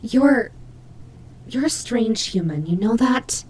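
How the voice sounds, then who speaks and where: Woman doing a tsundere nightmare bunny demon girl, highish voice, teasing n flustered, prickly but sweet, some noise behind, roleplay audio. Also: expressive, slow, darkish tone. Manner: flustered, teasing, hesitant stammering. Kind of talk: roleplay audio drama, addressed to the listener.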